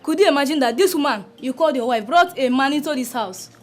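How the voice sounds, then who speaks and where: A woman's voice: sits high, lively intonation, quick pace, faint music behind. A young adult actress playing a daughter who insistently accuses her stepmother to her father.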